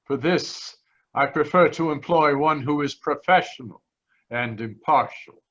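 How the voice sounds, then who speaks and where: An actor playing a grieving Italian immigrant father in an old-time radio drama, speaking halting, formal broken English with an Italian accent. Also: a little high, a bit bright. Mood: grave, insistent. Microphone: video call. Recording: muffled video-call audio.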